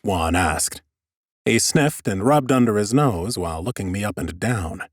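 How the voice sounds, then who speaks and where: A man's voice: fairly low pitch, animated, a little slow, no room sound. A man narrating scripted first-person fantasy audiobook prose with wry understatement, close on a studio mic. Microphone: close studio microphone.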